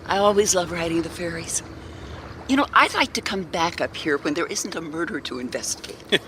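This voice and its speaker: A woman acting a gentle, inquisitive detective's assistant in a radio mystery. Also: on the low side, lively intonation, a little fast. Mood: curious, relaxed. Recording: faint noise, live theatre stage.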